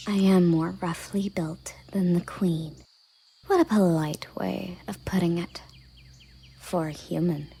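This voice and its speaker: Woman playing a husky, slow-talking elven guard captain. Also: exaggerated voice. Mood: proud, disdainful. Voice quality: husky, breathy, soft low voice. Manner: curt, condescending, commanding. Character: stern, haughty elven royal guard captain, muscular woman. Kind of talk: audio roleplay addressed to the listener.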